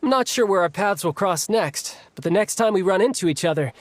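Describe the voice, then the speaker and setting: A man's voice: high voice, animated, fairly quick. An actor playing a breezy, battle-loving young fighter in a video game, casual and easygoing in his talk. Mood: friendly, worn out.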